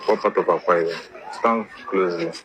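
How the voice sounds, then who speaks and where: A man with a Nigerian Pidgin accent and a very low voice asks probing questions one after another. He does not let up until he gets an answer. A loud crowd chatters behind him in this replayed clip. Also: brisk. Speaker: street interviewer. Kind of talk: questioning interview.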